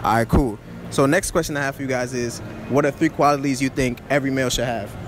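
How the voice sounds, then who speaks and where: A man's voice: mid-range voice, fairly even intonation, noisy background. A young man hosting a casual street interview in a shopping mall, asking questions in a slangy, upbeat way and speaking into a phone. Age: young adult. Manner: slangy, upbeat, quick back-and-forth. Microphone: phone held to mouth.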